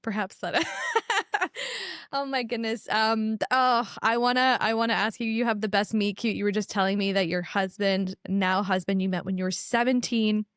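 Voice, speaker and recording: A woman's voice: medium pitch, fairly even intonation, moderate pace, fairly bright tone, clear, resonant tone, no echo, studio quality. Gushing woman podcast host. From Canada. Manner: gushing, enthusiastic, chatty. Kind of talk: casual podcast interview.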